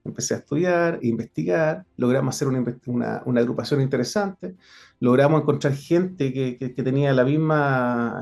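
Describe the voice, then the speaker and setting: Gravelly-voiced Chilean man recounting. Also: mid-range voice, mellow. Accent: Chilean Spanish. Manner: frequent hesitations and self-corrections, earnest. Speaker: guest, former police investigator. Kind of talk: interview, personal account.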